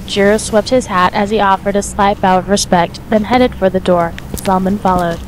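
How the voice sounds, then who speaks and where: A woman's voice: medium pitch, fairly even intonation, moderate pace, a little hiss or hum, poor audio. A woman reading scripted story narration as the narrator of an audio drama.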